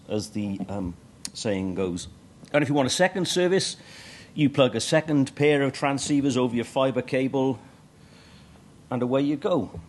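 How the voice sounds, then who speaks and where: A man's voice: medium pitch, a little mellow. A middle-aged man presenting a technical talk at a conference, with dry humour and frequent ums and uhs. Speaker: conference speaker. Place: conference hall stage.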